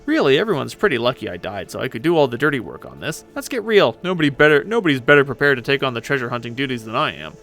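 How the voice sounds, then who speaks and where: A man plays a cocky pirate ghost girl from an audio drama. The delivery is very animated and sarcastic, with a gravelly creak at the edges. The manner is impatient and boastful. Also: medium pitch, quick pace, hardly any pauses, faint music behind. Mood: boastful, excited. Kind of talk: dramatic fan reading of a web story.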